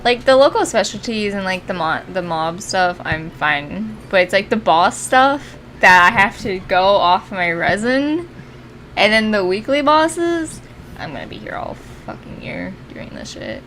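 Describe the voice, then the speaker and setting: A woman's voice: medium pitch, lively intonation, unhurried, fairly bright tone, slight background noise. A woman game streamer chatting casually and swearing often as she plays. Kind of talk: casual chat while streaming a video game. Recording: music in the background. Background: music.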